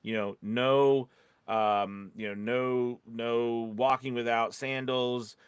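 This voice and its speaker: A man's voice: mid-range voice, steady tone, slow, clean tone, no echo. A man co-hosting a casual advice chat, informal and encouraging, often acting out little example quotes and checking that he is understood. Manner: informal, encouraging, often checks in with "you know what I mean". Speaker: podcast co-host and voice-over coach.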